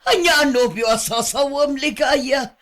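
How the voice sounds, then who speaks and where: A woman's voice: high-pitched, unhurried, a bit bright. A woman playing an emotional, possessive girlfriend in an Ilocano radio drama, with a dramatic delivery and an Ilocano accent. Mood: hurt, accusing.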